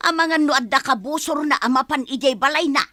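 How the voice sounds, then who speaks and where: A feisty, curious village woman with a high, bright, forceful voice. She is gossipy and full of questions, Ilocano-accented, in radio comedy-drama style. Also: fairly quick, dry recording, clean studio sound.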